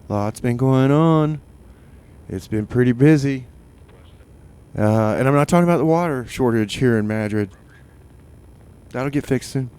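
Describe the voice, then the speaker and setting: A man hosting a community radio show, chatting loosely and haltingly between songs from a studio mic. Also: medium pitch, a little mellow, smooth. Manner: halting, full of uh and repeated starts. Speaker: radio DJ and host. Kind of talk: rambling casual radio chat.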